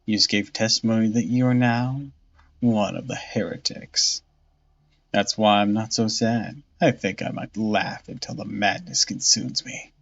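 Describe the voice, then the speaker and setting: A man voicing a vengeful undead female saint who taunts her killer slowly and coldly. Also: fairly low pitch, fairly even intonation, creaky, a bit bright. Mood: mocking. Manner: slow, cold, mocking, theatrical. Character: vengeful undead woman saint, cold and mocking. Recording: dull lo-fi audio with faint music.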